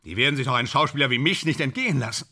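A man's voice: mid-range voice, lively intonation, very fast, bright, forceful tone. A man in a German radio play, playing a grandiose, self-deluding film actor who declaims in exalted, repetitive phrases. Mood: boastful dreaming.

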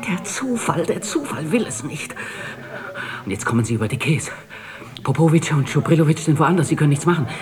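Actor playing a halting, fervent young male conspirator. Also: fairly high pitch, lively intonation, crowd noise, natural voice. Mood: brooding, stunned. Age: young adult. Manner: halting, repeating words, fervent. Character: agitated, brooding young male conspirator, bitter and resolved. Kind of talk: radio drama acting.

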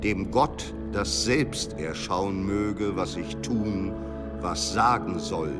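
Solemn old-world king reciting tragic verse, really slow n lowish, loud music under it. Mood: solemn resolve. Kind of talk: slow theatrical verse declamation.

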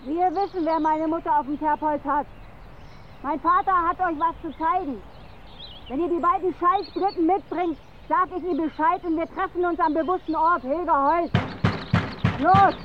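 An actress playing the blunt, defiant grown daughter of an Irish militant in a radio crime drama. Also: female voice, very high voice, moderate pace, muffled recording, a little hiss or hum.

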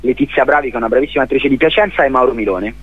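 A man from Italy giving a spontaneous radio interview as a theatre director, speaking haltingly and repeating words as he searches for phrasing. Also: mid-range voice, husky, narrow, tinny audio.

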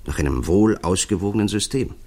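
Male expert, low-pitched, formal, measured, insistent and persuasive, vintage 1960s German radio drama. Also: a little mellow. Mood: explaining intently. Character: earnest, persuasive computing expert presenting a discovery.

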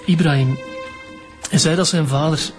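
A young actor playing a defensive teenage footballer with a Flemish accent, evasive and stammering under pressure, in a radio crime drama. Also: male voice, a little high, fairly even intonation, normal speed, music in the background, lightly acted. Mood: sullen, evasive.

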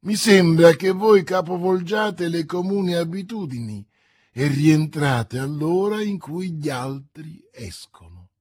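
Man doing sneering shopkeeper, middle-aged, slow mocking drawl, husky, dry. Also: on the high side, a little mellow, no echo.

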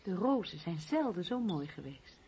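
Gentle, wistful mother. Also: on the low side, big pitch swings, moderate pace, slight background noise, rough recording. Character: gentle, worried wife and mother pining for son. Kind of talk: radio drama dialogue.

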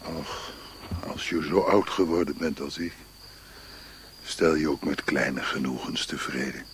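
A man's voice: very deep voice, measured pace. An actor playing an old exiled Chinese man, sly and melancholy, in a radio play. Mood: sly, confiding. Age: older. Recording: noisy archive radio play recording.

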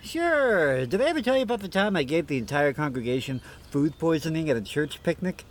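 A man's voice: a little high, big pitch swings, a little fast. A man playing a fussy, self-serious and encouraging grilling mentor in a comic radio skit. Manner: exacting, encouraging.

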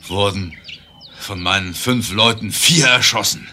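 An actor playing a weak, dying young outlaw, proud and melancholic, speaking haltingly in a western radio play. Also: male voice, lowish voice, bright, forceful tone, slight background noise. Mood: weary confession. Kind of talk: German 1970s western radio play acting.

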